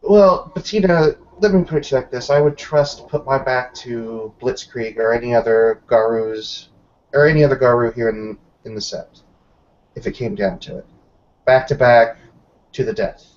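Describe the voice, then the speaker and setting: Man playing a deliberate, folksy werewolf packmate. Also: medium pitch, lo-fi sound, close to an everyday voice. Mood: resolute. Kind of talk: improvised in-character tabletop roleplay.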